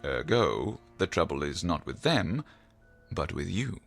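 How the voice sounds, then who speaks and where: An actor playing a learned, probing psychiatrist in an audio drama, speaking in a deliberate, formal, professorial way. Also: male voice, sits low, very animated, creaky, fairly bright tone, faint music behind, full character voice. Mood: measured, lecturing.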